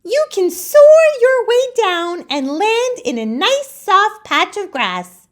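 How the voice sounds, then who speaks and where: A woman's voice: very animated, slow pace, exaggerated voice. A woman voicing an encouraging, helpful leaf friend in a children's storybook. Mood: eager. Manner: high-pitched, encouraging.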